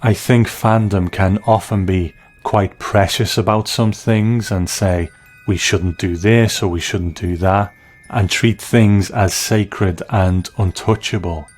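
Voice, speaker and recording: A man hosting a scripted TV review, speaking in measured, reflective, deliberate phrases. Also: lowish voice, fairly even intonation, a little mellow, soft background music. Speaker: television review host.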